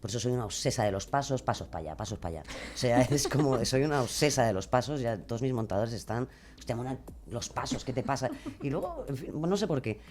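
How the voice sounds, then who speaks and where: A woman with a Spain Spanish accent talks casually as a podcast guest, explaining how she narrates. Her delivery is expressive, dropping in little acted-out asides. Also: extremely low pitch, fast talker, slight background noise. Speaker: podcast guest, true crime narrator and creator. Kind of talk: casual conversational explanation of craft.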